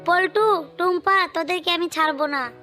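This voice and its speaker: A woman's voice: extremely high pitch, moderate pace, sharp tone, soft background music. A woman playing a sly, scheming animal wife in a cartoon, cunning and insistent. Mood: defiant, vengeful. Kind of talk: cartoon dialogue.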